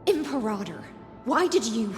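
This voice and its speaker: A woman plays a loyal knight commander full of indignation in a video game drama. Her voice sits a little high and rings with hall reverb over faint music. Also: lively intonation, moderate pace, a little mellow, natural voice. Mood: reproachful.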